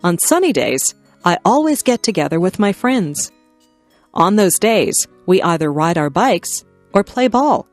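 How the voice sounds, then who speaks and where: A woman narrating simple scripted stories for language learners, reading in careful, clearly separated phrases. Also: fairly low pitch, big pitch swings, measured pace, dry recording, faint music behind. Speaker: narrator of an English listening lesson. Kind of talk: scripted narration, read-aloud beginner stories.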